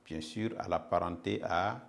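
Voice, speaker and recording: A man's voice: low-pitched, measured pace, gravelly. A male history teacher, giving a didactic lesson, dictating and repeating phrases with frequent check-in tags. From Burkina Faso. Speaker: middle school history teacher.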